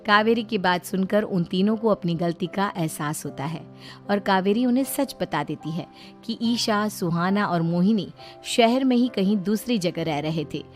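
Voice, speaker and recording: A woman's voice: medium pitch, moderate pace, a little mellow, soft background music. A woman reading scripted narration for a moral story as its storyteller.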